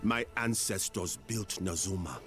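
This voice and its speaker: An actor playing a proud, battle-hardened monster hunter in a solemn, measured, often hushed cinematic game narration. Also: male voice, sits low. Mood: proud, resolute. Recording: loud cinematic music bed. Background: loud music.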